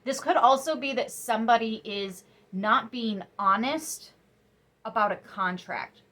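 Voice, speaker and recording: A woman's voice: fairly high pitch, unhurried. Sniffly, chatty woman tarot reader. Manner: chatty, emphatic, drops to soft musing asides. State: sniffly after crying. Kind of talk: casual tarot reading to camera.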